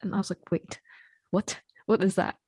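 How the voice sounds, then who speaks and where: A woman's voice: medium pitch, little pitch movement, moderate pace, dark tone, slightly rough, no echo. A young woman co-hosting a podcast in casual, conversational speech. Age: young adult. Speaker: podcast co-host. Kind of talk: casual chat.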